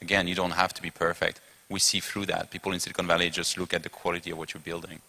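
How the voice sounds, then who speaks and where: A man with a French accent rattles along on a panel, his very low voice edged with gravel. The tone is bright and forceful, and he restarts phrases as he hurries on. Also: completely flat delivery, rough recording. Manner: fast, eager, self-correcting talker. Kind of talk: conference panel discussion.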